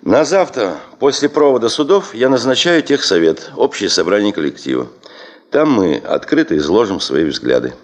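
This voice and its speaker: An actor in a Soviet radio drama playing a stubborn, ambitious male chief of a geological administration, speaking in a deliberate, formal and authoritative way. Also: on the low side, very animated. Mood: firm, controlled.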